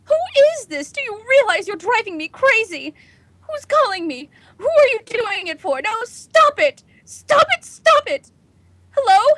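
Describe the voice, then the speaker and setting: An actress playing a nervous, imperious housewife on edge, in a melodramatic 1940s radio drama style. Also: female voice, very high-pitched, sing-song, a little slow, a bit bright, dry recording, full character voice. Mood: frantic, hysterical. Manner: haughty, melodramatic, agitated. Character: nervous, imperious, panicking housewife alone at night.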